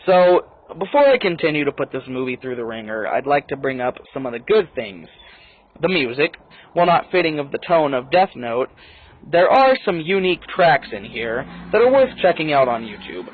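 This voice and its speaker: A man's voice: on the high side, big pitch swings, moderate pace, phone-quality sound. A man, a native English speaker, giving a blunt, casually profane and opinionated movie review in a conversational style.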